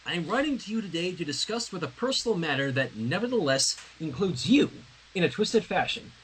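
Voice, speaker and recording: A man's voice: high-pitched, sing-song, brisk, fairly bright tone, a little hiss or hum, poor audio. A man reading fan fiction aloud on a livestream, narrating expressively with casual asides.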